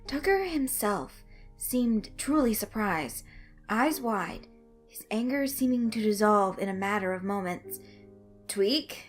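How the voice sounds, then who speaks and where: A woman reading a fan-written story aloud as narrator, in expressive scripted narration. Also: mid-range voice, measured pace. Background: music under the voice.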